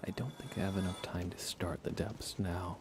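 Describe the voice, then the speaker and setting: A man doing soft-spoken ASMR livestream commentary on a game, low-key and murmuring, often dropping into a whisper. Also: normal speed, noisy background. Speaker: livestream host.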